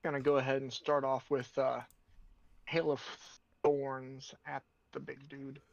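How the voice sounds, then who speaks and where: A man's voice: medium pitch, flat delivery, unhurried, vocal fry, lo-fi sound. A man talking casually as a player in a tabletop game, hesitant and feeling his way through his turn.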